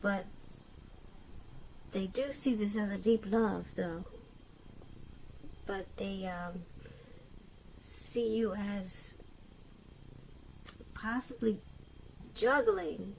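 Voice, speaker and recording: Slow-paced woman giving a tarot reading. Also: mid-range voice, gravelly, darkish tone, a little hiss or hum, low-quality recording. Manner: halting delivery, pauses mid-sentence and repeats phrases. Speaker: tarot reader. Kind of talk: unscripted tarot love reading addressed to the viewer.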